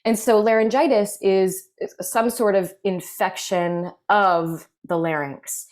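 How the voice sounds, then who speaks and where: A woman voice clinician giving measured, explanatory interview answers with frequent fillers. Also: mid-range voice, steady tone, darkish tone. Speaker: voice clinical specialist.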